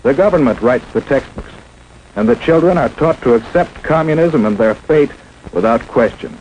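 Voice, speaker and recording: A man's voice: medium pitch, lively intonation, normal speed, a little mellow, slight background noise, poor audio. An American man narrating a 1950s instructional film in an emphatic, dramatic, scripted manner, on an old film soundtrack. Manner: emphatic, dramatic, rhetorical questioning.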